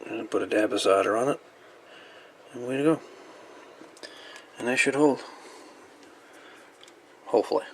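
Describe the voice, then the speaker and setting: A man giving a casual hands-on repair walkthrough in a halting, folksy way with colloquial grammar. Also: mid-range voice, creaky, slight background noise. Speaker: repair video host. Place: indoor electronics workbench.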